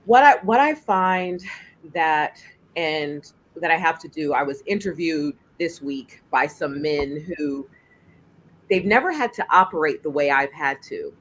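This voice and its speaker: A woman filmmaker with a deep voice and lots of bass, speaking emphatically in a panel discussion over a video call. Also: a little slow, poor audio. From United States. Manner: emphatic, animated, laughs while speaking. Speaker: filmmaker and juror.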